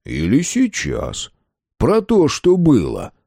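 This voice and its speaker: A man voicing a menacingly cheerful police major in an audiobook, teasing and coaxing as he needles a suspect with false gentleness. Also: on the high side, huge pitch swings, slow, vocal fry, breathy edge, dry recording, clean studio sound, pitched above the performer's own voice, heavily acted. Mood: taunting.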